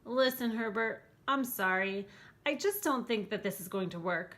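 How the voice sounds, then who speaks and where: A woman's voice: on the high side, expressive, normal speed, a bit bright. A woman voicing a picky, plucky child in a storybook, frank and demanding. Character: picky, plucky child wanting a scary monster.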